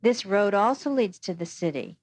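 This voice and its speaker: A woman voicing Jonah, a patient, calm older Swiss man, in an audiobook reading. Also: medium pitch. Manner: calm, unhurried, reassuring.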